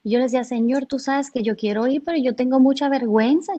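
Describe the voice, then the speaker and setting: A woman from Cuba with a Cuban accent, telling her personal faith story in an earnest, halting way, often restarting her words. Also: high-pitched, steady tone, mellow. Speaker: guest sharing her testimony.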